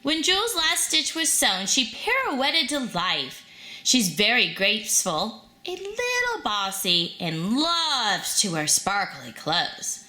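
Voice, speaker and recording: Woman, children's read-aloud, bright tone, swooping intonation, expressive storyteller. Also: on the high side, slow. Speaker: storybook reader.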